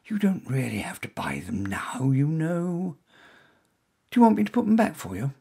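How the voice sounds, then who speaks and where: A man voicing a cheerful woman in her thirties who speaks in a bright, teasing way. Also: on the high side, very animated, normal speed, gravelly, breathy edge, pitched above the performer's own voice, exaggerated voice.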